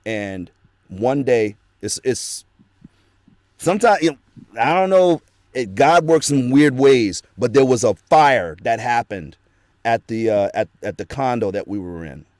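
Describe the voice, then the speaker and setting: A man's voice: medium pitch, lively intonation, a little slow, darkish tone, no room sound. A man telling his story in a casual interview, earnest and rambling with lots of fillers, into a home studio microphone. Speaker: guest, actor and voice actor.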